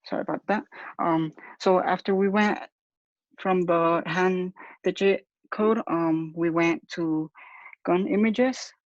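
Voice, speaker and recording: A man's voice: high-pitched, steady tone, no echo. A young man giving a hesitant, explanatory student presentation over a lo-fi video call. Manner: hesitant, halting. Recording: dull lo-fi call audio.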